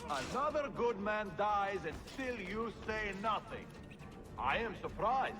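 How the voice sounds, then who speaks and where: An actor playing a bitter, menacing assassin villain in a video game, with a theatrical, taunting delivery. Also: male voice, high-pitched, sing-song, a little slow, over music. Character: bitter, scornful male traitor assassin, eloquent and menacing. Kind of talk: video game cutscene acting.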